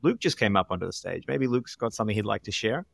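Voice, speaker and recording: A man's voice: on the low side, expressive, fast talker, creaky, a bit bright, no room sound. A man co-hosting a casual panel discussion, speaking candidly and reflectively. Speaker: podcast co-host.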